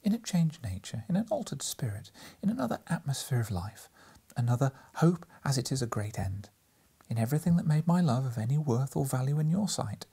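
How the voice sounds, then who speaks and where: A man voicing a gentle, sorrowful young woman in a storybook, speaking softly and earnestly. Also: medium pitch, very animated, fairly quick, pitched above the performer's own voice, heavily acted. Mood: sad, steady. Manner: soft, earnest, breathy. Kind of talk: dramatic audiobook character reading.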